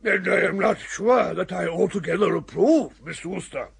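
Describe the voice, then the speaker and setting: An actor playing a fussy, pompous old English lord with an upper-class accent and a ponderous, formal manner in a radio comedy. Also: male voice, high voice, moderate pace, creaky, husky, full character voice. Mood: doubtful, grave. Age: older. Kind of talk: radio comedy drama acting.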